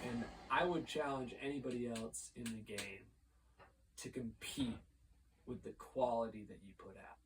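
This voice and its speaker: American man rambling through a mock press conference. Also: medium pitch. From United States. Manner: rambling, mock-formal, playful. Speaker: vlogger. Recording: loud background noise.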